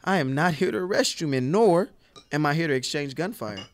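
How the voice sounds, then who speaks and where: Green frontier deputy, male actor: high, very expressive pitch, wordy and earnest, anxious edge, close dry recording. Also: brisk, no echo, natural voice. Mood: earnest, resolute. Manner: hesitant, stammering. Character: nervous, reluctant young deputy from Chicago, earnest and wordy. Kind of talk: audio play acting.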